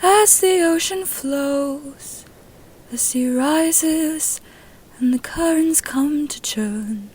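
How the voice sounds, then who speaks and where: A woman narrator playing a young woman who slowly sings a solemn funeral blessing in a story. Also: high-pitched, darkish tone, pitched above the performer's own voice, rough recording. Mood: solemn, reverent. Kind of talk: slow chanted singing.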